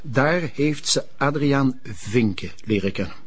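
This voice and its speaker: A husky, middle-pitched male voice. a wealthy, haughty gentleman, formal and bitter, sprinkling in French phrases for a television drama. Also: lively intonation, slow. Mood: disdainful. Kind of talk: TV drama acting.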